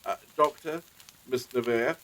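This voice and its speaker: A man's voice: medium pitch, a little slow, fairly bright tone, close to an everyday voice, low-quality recording. A man playing a courteous, genteel master of the house in a period audio drama, formal and politely apologetic. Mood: gracious, formal.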